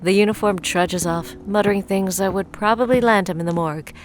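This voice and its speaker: A woman's voice: mid-range voice, a little fast, slight background noise, lightly acted. A woman narrating an audio drama in composed, scripted present-tense storytelling.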